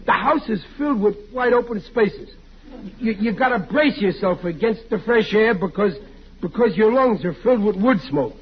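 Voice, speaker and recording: Stubborn blustery family man, high-pitched, stammering, emphatic, old radio comedy, muffled sound. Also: animated, moderate pace. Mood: blustering. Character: blustering, gullible, stubborn working-class husband and father. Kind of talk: 1940s radio comedy acting. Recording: muffled old-time radio recording, faint hiss.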